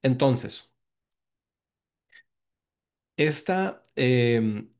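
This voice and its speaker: A man's voice: mid-range voice, expressive, drawn-out words, vocal fry, a little mellow. A man from Mexico with a Mexican accent, giving an academic presentation over a video call. He explains patiently, repeats key words and checks in with his listeners. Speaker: guest lecturer presenting research. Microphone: video call microphone.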